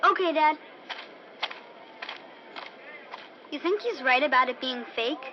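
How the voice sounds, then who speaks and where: A woman's voice: high-pitched, expressive, fairly quick, a crowd in the background. A woman voicing an eager, plucky young boy in a 1950s-style radio drama. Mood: casual, curious. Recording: dull, roomy, noisy vintage radio recording.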